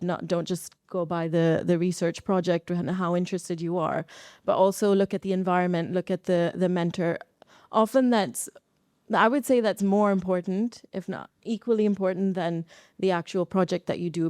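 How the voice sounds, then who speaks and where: Smooth, mellow woman panelist, lowish voice. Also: dry recording, studio quality. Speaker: panelist, researcher and founder of a science network. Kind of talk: spoken panel advice at a live event.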